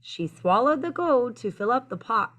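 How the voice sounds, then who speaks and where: A woman teacher reading a picture book aloud to young children in an expressive, sing-song, encouraging way. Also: a little high, moderate pace. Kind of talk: children's story read-aloud, addressing young listeners.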